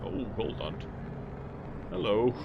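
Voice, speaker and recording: A man's voice: animated, takes it slowly, narrow, tinny audio, very noisy. A man with a Danish accent thinking aloud in casual commentary while live-streaming a game. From Denmark. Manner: thinking aloud, musing, low-key.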